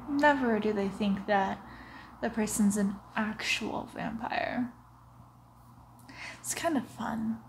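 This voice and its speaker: A young female vampire, acted out by a woman for an ASMR roleplay, murmurs extremely slowly and teasingly. Her breathy voice often drops to a whisper, menacing and close to the mic, with wet mouth sounds. A clear bed of room noise sits behind her. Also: medium pitch. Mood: smug, amused. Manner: slow, hushed, often slipping into whispers, teasing.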